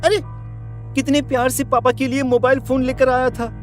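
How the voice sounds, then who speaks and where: A man playing a distressed but determined young villager, halting and earnest, in a horror story. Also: very high voice, little pitch movement, soft background music. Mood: grieving, resolved.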